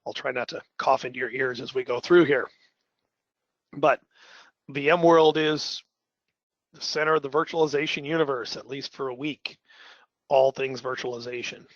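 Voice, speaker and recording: A man with a voice gone raspy from a cold, presenting a conversational, upbeat technical webinar as a trainer. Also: mid-range voice, steady tone, moderate pace, pauses often, a bit bright, dry recording, lo-fi sound.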